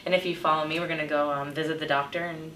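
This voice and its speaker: A woman plays a friendly hospital navigator, informal and instructive. Her voice is low for a woman. It sounds echoey and a bit distant, with clear noise around it. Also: little pitch movement, rapid-fire, natural voice. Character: friendly female patient navigator guiding a cancer patient. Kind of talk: casual role-played dialogue.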